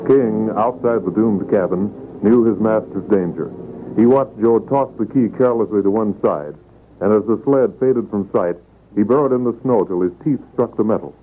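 Dramatic vintage American radio announcer, tinny sound. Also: male voice, lowish voice, normal speed, music in the background. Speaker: announcer and narrator. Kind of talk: dramatic scripted 1940s radio narration. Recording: narrow-band vintage radio recording.

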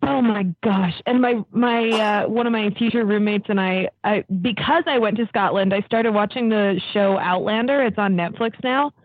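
A woman's voice: medium pitch, moderate pace, few pauses. Giggly young woman on a phone-like line. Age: 20s. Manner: enthusiastic, giggly. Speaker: podcast guest. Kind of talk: casual chat.

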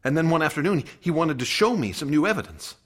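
An actor playing a worried, skeptical young student in a radio drama, with a questioning, hesitant manner. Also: male voice, on the high side, animated, fast talker, fairly bright tone, slight background noise. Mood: shaken. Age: young adult.

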